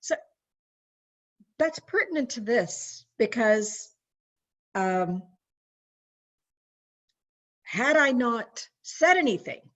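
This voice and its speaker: A woman raised in Canada telling personal stories in a chatty way, with lively quoted voices and frequent restarts. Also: fairly high pitch, very slow, long pauses. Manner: chatty, animated when quoting, frequent restarts. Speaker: webinar guest. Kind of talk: casual storytelling recollection. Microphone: video call. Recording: dull lo-fi video call audio.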